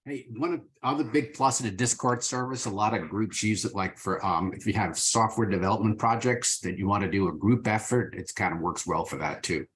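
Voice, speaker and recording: Male teleconference participant, lowish voice, casual conversational delivery. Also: brisk, few pauses, a bit bright. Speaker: meeting participant. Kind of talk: casual meeting talk. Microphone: teleconference call.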